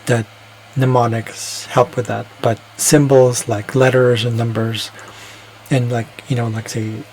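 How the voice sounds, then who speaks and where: A man's voice: medium pitch, level tone, measured pace, a little mellow, slight background noise, low-quality recording. A man talking alone in a casual, reflective monologue, rambling and hesitant, often restarting his sentences. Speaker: vlogger.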